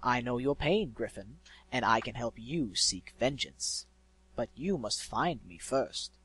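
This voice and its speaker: A man's voice: medium pitch, expressive, a bit bright, breathy edge, full character voice. A man voicing an eloquent, malevolent disembodied male spirit in a storybook, drawing out words in a theatrical coo. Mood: sly, cooing. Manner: drawn-out, theatrical, cooing.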